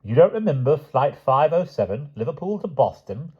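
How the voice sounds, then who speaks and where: A man's voice: on the high side, sing-song, moderate pace, husky, muffled recording, voice pushed up. A man voicing a mocking, vengeful one-handed man who taunts sarcastically.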